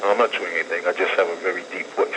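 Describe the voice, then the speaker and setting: A man's voice: low voice, fairly even intonation, rapid-fire. A man from calling a radio show, argumentative and talking over the host. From Brooklyn. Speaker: caller. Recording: noisy radio phone line.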